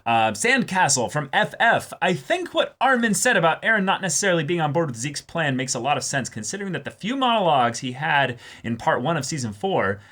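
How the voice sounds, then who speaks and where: Bright-voiced man, casual reaction host. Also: fairly high pitch, very animated, brisk, hardly any pauses. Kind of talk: casual chat, reading out viewer comments.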